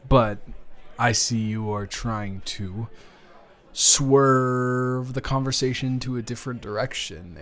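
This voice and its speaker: A man playing a smitten, awkwardly playful friend with benefits in an improvised romantic audio roleplay, speaking hesitantly and teasingly. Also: lowish voice. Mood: teasing, curious.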